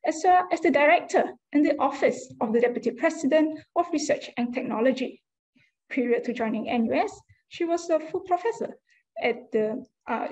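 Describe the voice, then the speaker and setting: A woman's voice: high voice, darkish tone, low-quality recording. A young woman moderating a university webinar, reading a formal introduction with a few fillers and slips.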